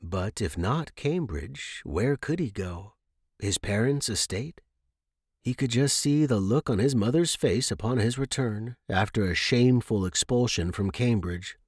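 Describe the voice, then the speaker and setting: A man's voice: medium pitch, lively intonation, a little slow, slightly rough, dry recording, clean studio sound. A man reading a short story aloud as a scripted narration.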